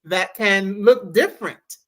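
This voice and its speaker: A woman's voice: mid-range voice, takes it slowly, pushed and bright. A woman hosting an interview, affirming her guest and leading into the next topic. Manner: affirming, frequent "mm-hmm". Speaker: podcast host. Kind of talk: interview questions.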